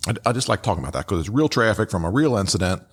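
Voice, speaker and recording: A man with a low voice speaks as a podcast host. He gives loose, unscripted commentary in a halting way, repeating words and adding casual asides. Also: sing-song, husky.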